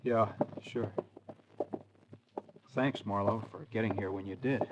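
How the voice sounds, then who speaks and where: A man's voice: on the low side, steady tone, takes it slowly. An American actor playing a naive, anxious young man in 1940s radio drama, hesitant and questioning. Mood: shaken, puzzled. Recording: noisy old radio recording.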